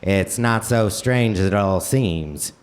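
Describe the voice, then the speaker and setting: A man playing a swaggering American president with a Boston accent and halting, uh-filled delivery in a comedic radio play. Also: on the low side, pitched below the performer's own voice. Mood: suave, halting. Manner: halting, peppered with drawn-out ers and uhs. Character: heroic swaggering American president, folksy and hesitant, JFK parody. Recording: noisy live theater stage recording.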